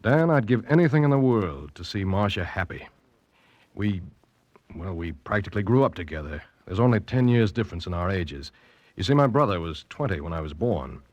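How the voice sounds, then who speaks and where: An actor playing a genial, calculating rich uncle in a 1940s radio drama, speaking with polite, measured urbanity. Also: male voice, low voice, expressive, darkish tone, no room sound, dull audio. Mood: suave, probing.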